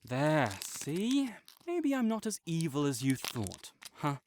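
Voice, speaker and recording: A man's voice: a little high, huge pitch swings, slow, a little mellow, a little hiss or hum, heavily acted. A young man playing a prickly male academic rival who is grudgingly kind, talking teasingly and defensively to a silent listener in an audio roleplay. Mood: bickering.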